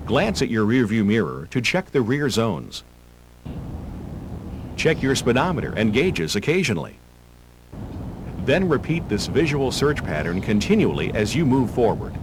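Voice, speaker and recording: Male narrator for a driver-training video: measured, instructive, varied intonation, faint tape hum underneath. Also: medium pitch. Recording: hum and hiss of old tape.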